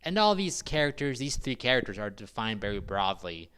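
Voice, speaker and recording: Man, lowish voice, smooth and resonant, skeptical, analytical critique. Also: very animated, a little fast, fairly bright tone. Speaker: podcast co-host. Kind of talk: casual movie critique chat.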